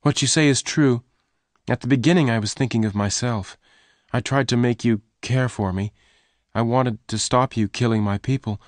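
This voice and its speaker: A man's voice: mid-range voice, normal speed, no room sound, voice pushed up, natural voice. A man voicing an anxious young woman who pleads in a raised, emotional voice.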